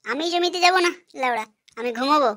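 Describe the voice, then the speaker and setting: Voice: high voice, animated, quick pace, pushed and bright, no room sound, studio quality. A woman voicing a lazy, insolent grown village son in a comic cartoon, whiny. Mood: cheeky, defiant.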